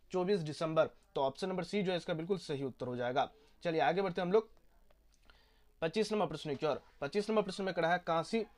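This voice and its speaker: A young man, walking students through exam questions and repeating each answer. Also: on the high side. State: hoarse. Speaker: teacher.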